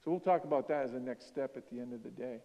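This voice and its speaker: A man leads a church seminar in a mellow, soft-toned voice. He talks earnestly and plainly, pausing now and then with an "uh". The long echo of a church hall hangs around his words. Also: medium pitch, dull audio. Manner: earnest and plainspoken, with occasional "uh" pauses. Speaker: seminar organizer. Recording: echoey hall.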